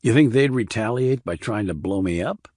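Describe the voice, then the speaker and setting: Man reading as a stoic army investigator guy, low voice, few words, dry audiobook sound. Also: expressive, normal speed, a little mellow, no echo. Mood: matter-of-fact. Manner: terse, low-key. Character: stoic, terse male army investigator, quietly considerate.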